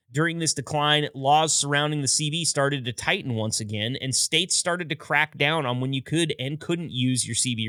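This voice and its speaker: A man hosting a podcast, reading a scripted history segment in a smooth, even delivery with the odd light joke. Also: medium pitch, no echo, clean studio sound. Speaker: podcast host. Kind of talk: scripted narration.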